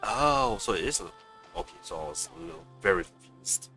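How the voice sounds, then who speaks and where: A man with a lowish, bright voice gives casual live game commentary. His pitch swings very widely as he thinks aloud, with faint music behind him. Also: lively intonation, brisk. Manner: muttering to himself, thinking aloud. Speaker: game streamer.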